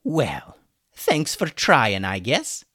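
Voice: mid-range voice, wildly swooping pitch, very slow, fairly bright tone, slightly rough, no echo, studio quality, pitched above the performer's own voice, exaggerated voice. A storyteller voicing a weary, sarcastic chicken wizard for a children's story. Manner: sarcastic, exasperated. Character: mocking, weary chicken wizard prisoner with human hands.

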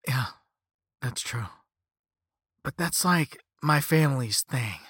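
A man's voice: mid-range voice, expressive, slow, a bit bright, breathy edge, no echo, clean studio sound, full character voice. A man voicing a flippant, easygoing young rich slacker in a story, with a casual slangy drawl and sarcastic half-apologies. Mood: casually dismissive. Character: flippant, laid-back young rich slacker on a phone call.

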